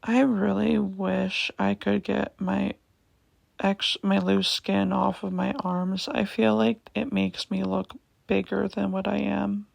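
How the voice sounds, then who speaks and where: A young woman with a raspy voice giving a casual vlog voiceover, hesitant, with many ums, and repeating herself. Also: medium pitch, level tone, takes it slowly, a little mellow, no echo. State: raspy voice, going in and out. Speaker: vlogger.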